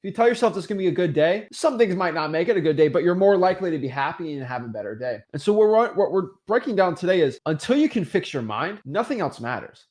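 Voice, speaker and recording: A man's voice: sits high, quick pace, hardly any pauses. A young man hosting a real estate webinar, giving an emphatic motivational talk and mocking excuses. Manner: animated, emphatic, acts out excuses in mocking voices.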